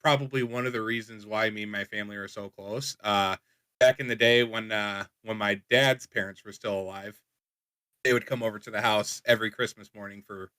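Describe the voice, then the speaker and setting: A man's voice: fairly low pitch, fairly even intonation, brisk, sharp tone, clean tone, no room sound. A young man co-hosting a podcast, speaking earnestly and reflectively. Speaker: co-host. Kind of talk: casual chat.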